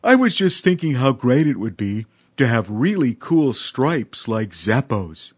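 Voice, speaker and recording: A man voicing a gentle, clumsy young male elephant who speaks slowly with a soft, sighing manner in a storybook reading. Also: medium pitch, very animated, no room sound, studio quality, pitched above the performer's own voice. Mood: glum.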